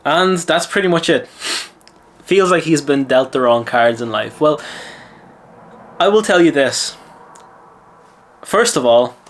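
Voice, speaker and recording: A man from Lithuania with an Irish accent, a mindset coach telling a gym story in casual talk and then giving self-assured advice. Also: mid-range voice. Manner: chatty, self-assured, lecturing.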